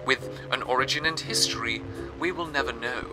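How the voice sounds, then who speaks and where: A man's voice: medium pitch, moderate pace, over music, natural voice. A man playing a cheerful, theatrical presenter on a fictional old-time radio show, talking to his listeners. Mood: reflective. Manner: theatrical, self-congratulatory. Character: cheerful, grandiose male radio presenter in a rusting city. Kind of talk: 1940s radio presenter acting.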